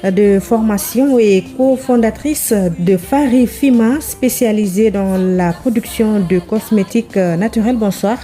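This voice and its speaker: Radio interviewer: a friendly woman with a warm, relaxed tone who keeps her questions probing. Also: mid-range voice, unhurried, hardly any pauses.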